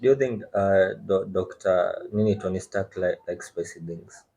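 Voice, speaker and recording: A man with a Kenyan accent, a podcast guest making wry, deadpan jokes in casual banter. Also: deep voice, moderate pace.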